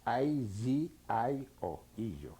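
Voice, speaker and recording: Older Polish-accented man in his 70s, unhurried, mellow husky voice, hesitant as he searches for English words. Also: mid-range voice, big pitch swings. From Poland. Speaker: interviewee. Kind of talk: oral history testimony.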